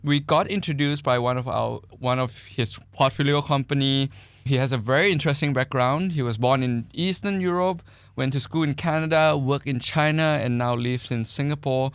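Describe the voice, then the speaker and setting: Creaky-voiced man hosting a podcast. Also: medium pitch, normal speed, dry recording. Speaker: podcast host. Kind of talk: conversational podcast introduction and interview.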